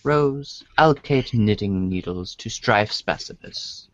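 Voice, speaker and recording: Slow-reading man, halting storybook narrator. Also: on the low side, animated, dry recording, muffled recording. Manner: halting, stumbles over long words. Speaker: reader in a comedic reader's theater show. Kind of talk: dramatic storybook read-along narration.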